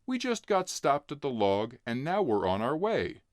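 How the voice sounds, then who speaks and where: Storybook sister played by a man: upbeat, sensible young girl with very lively intonation. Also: mid-range voice, moderate pace, no echo, studio quality, close to an everyday voice. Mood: cheerful.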